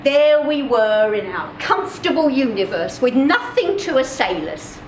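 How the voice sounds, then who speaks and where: A woman giving an expressive, deliberate dramatic reading of a first-person short story, with measured pauses. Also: sits high. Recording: roomy, distant mic, clear background noise.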